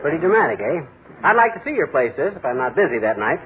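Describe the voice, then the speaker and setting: A boastful, bumbling middle-aged husband in a 1940s radio comedy. He is blustery and excitable, and his voice swings widely up and down. It sounds tinny and hissy, like an old broadcast. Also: a little high, fast talker. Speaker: Fibber McGee.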